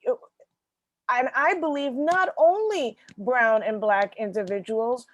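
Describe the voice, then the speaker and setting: A woman's voice: on the high side, slow pace, a little hiss or hum. A woman hosting an interview podcast, talking in an agreeable, conversational way and often repeating phrases. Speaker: podcast host.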